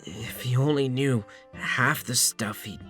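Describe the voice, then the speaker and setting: A woman voicing a timid, flustered, overworked male blacksmith in an animated comedy, hesitant and stammering. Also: rumbling low, slow, faint music behind, heavily acted. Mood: nervous, glum.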